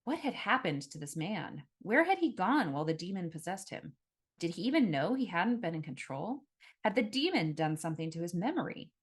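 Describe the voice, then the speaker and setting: A woman with a slightly low voice narrates first-person fiction from a script. Her storytelling is expressive and dramatic, in the manner of an author reading a novel aloud. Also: brisk, husky.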